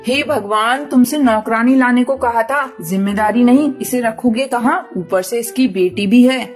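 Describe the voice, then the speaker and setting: Woman voicing a high-pitched, scolding, haughty crow wife. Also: normal speed, soft background music, close to an everyday voice. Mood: irritated, contemptuous. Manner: scolding, bossy, sarcastic. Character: haughty, bitter, hard-hearted female crow, rich wife. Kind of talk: Hindi animated moral story dialogue.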